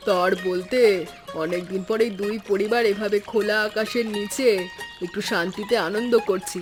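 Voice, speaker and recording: A woman's voice: mid-range voice, steady tone, normal speed, over music, lightly acted. A woman voicing a contented, gushing middle-aged village auntie in a cartoon drama. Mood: delighted. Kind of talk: cartoon voice acting.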